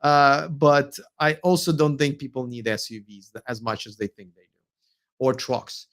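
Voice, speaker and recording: A man's voice: mid-range voice, level tone, moderate pace, a bit bright. A man from Russia with a Russian accent, hosting a late-night live stream. He reads viewer comments and answers them, sounding tired, with many fillers, restarts and hesitations.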